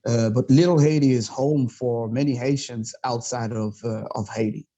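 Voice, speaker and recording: Interview guest, man, French-speaking Montreal native; mellow voice, muffled lo-fi audio, passionate but halting. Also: mid-range voice, fairly even intonation. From Montreal, Canada. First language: Quebec French. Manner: halting, many fillers, passionate. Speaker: podcast guest. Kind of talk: casual interview talk.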